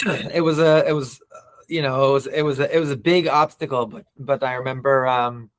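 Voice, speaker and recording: A man in his thirties who grew up between the US and Israel, a podcast guest telling stories in a reflective, halting way. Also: medium pitch, steady tone. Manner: reflective, many restarts and fillers. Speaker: guest, nonprofit CEO. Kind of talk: interview.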